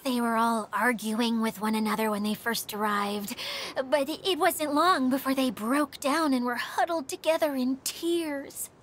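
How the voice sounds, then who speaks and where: A woman playing a kindly, warm-hearted young female guide in a video game, expressive and airy. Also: high-pitched, measured pace, few pauses, a little hiss or hum. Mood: thoughtful.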